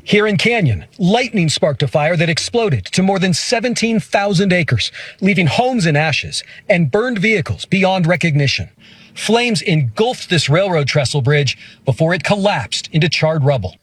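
A man filing a measured network news report from the field. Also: high-pitched, lively intonation, breathy edge, no echo.

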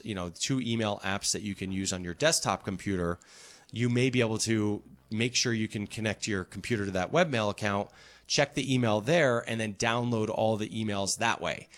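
A man's voice: on the low side, fairly bright tone. A middle-aged man hosting a call-in tech radio show, explaining things step by step in a friendly way. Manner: friendly, explanatory, walks through steps.

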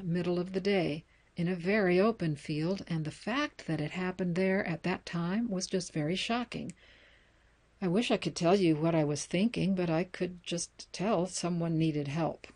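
An American woman reading news articles and book excerpts aloud as a reader, in a measured, plain announcer-like way. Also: on the low side, darkish tone.

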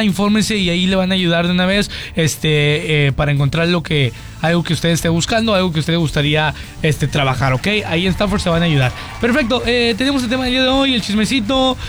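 Young radio host guy, high voice, jokey banter over light music. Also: fairly bright tone. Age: 20s. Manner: playful, joking, reads ads aloud.

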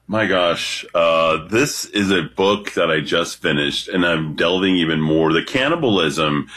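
A man with a deep voice calling into a radio show, talking confidently and animatedly. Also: animated, measured pace, fairly bright tone. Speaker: radio caller. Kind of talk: casual radio talk.

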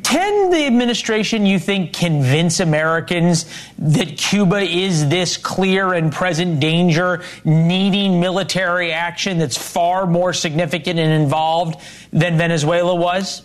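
Male cable news host, slightly high-pitched, casual and wry. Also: a little slow. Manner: conversational, wry, with fillers.

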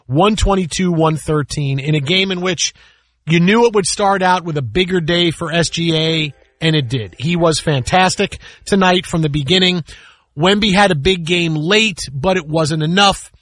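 A man's voice: on the high side, moderate pace, a bit bright, no room sound. A man hosting sports talk radio, giving casual, opinionated commentary in an emphatic way with repetition and rhetorical questions. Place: radio studio.

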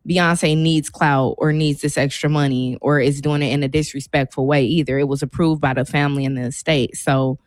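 An American woman co-hosting a talk show, bantering casually in an opinionated, animated way with informal slangy phrasing. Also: low-pitched, fairly quick, few pauses, no room sound.

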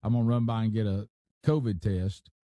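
A male talk radio host reads a news story aloud and stops often to comment on it. His voice is warm and mellow. The sound is dry and close. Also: lowish voice, fairly even intonation, a little fast, no echo, highs cut off. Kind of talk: casual talk radio banter.

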